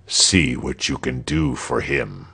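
A man's voice: a bass voice, slow, vocal fry, sharp tone, husky, voice pushed down, heavily acted. A man voicing a haughty, coldly menacing governor with a curt, sardonic manner in a storybook reading. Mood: quietly menacing.

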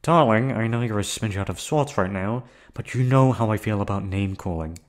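A man voicing a menacing yandere captor in an ASMR roleplay, with a put-on accent and a calm, coldly threatening delivery. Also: lowish voice, a little fast, natural voice. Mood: cold menace. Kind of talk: ASMR roleplay audio drama.

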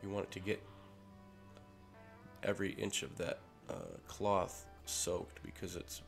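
Man, head cold, low gravelly voice, casual workshop tutorial, music behind. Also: a little slow. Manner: relaxed, with fillers and restarts. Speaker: maker showing a ring build. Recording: voice-over with clear music behind.

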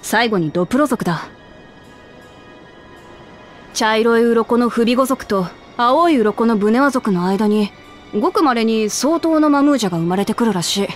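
An actress plays a hearty young cat-woman fighter in a video game. The voice sits a bit high, with rough, direct phrasing and soft music underneath. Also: lively intonation, moderate pace, lightly acted. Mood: matter-of-fact explaining. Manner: blunt, rough tomboyish speech. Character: brash, hearty young lioness warrior princess. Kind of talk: Japanese game voice acting.

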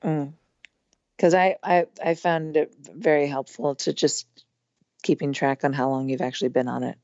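Woman, hoarse voice, low pitch, rambling casual chat, dry dull recording. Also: fairly even intonation, moderate pace, darkish tone, dry recording. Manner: chatty, rambling with many fillers. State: losing her voice, hoarse. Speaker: podcast guest, musician. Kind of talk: casual conversation.

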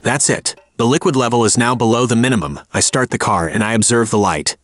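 Man calmly narrating a car repair. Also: mid-range voice, a little fast, slight background noise. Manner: calm, step-by-step explaining. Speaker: car repair video host. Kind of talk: scripted how-to voice-over.

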